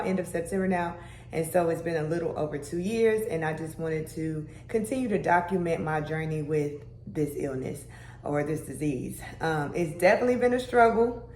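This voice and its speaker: Young woman vlogger, low deep voice, conversational and chatty, recorded in an echoey room. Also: darkish tone. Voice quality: deeper voice. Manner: chatty, conversational, with "y'all" and "baby" asides. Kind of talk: casual health vlog. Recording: echoey room with faint background hum.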